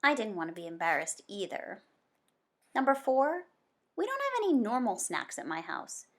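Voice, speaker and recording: Woman voicing an anxious, boastful schoolboy, animated pitch. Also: normal speed. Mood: anxious, dramatic. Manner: dramatic, emphatic delivery. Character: Sam Wu, anxious boastful schoolboy narrator, easily embarrassed.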